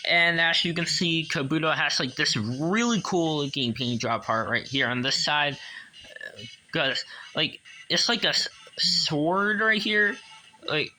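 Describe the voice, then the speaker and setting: A man giving a casual hands-on toy review as a collector, enthusiastic but halting and repetitive. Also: on the high side, bright, forceful tone, poor audio.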